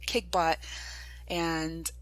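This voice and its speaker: A woman hosts a podcast interview, chatty and eager. Her voice sits low for a woman, and she laughs easily between questions. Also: flat delivery, very slow, no echo, low-quality recording. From China. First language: Chinese. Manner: enthusiastic, chatty, laughs often. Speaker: podcast host.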